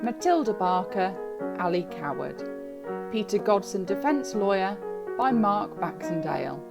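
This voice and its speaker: Woman reading credits, slow and clear, loud piano music behind. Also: mid-range voice, big pitch swings. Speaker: credits narrator. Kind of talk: scripted credits announcement.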